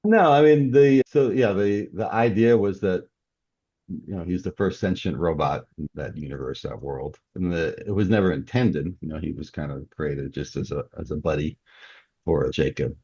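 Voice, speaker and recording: A man speaking as a guest author in a relaxed interview, explaining things through examples. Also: low-pitched, moderate pace, breathy edge, no room sound. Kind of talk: relaxed interview conversation about writing. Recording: dull, muffled lo-fi audio.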